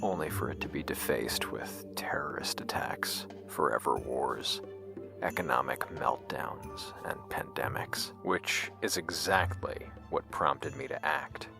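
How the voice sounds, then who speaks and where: Serious scientist in an audio drama, deep gravelly voice, deliberate pauses, music behind. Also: male voice, in a whisper, exaggerated voice. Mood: grave, confessional. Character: grave, secretive male scientist and his questioning double. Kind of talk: scripted audio drama dialogue. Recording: music under the voice.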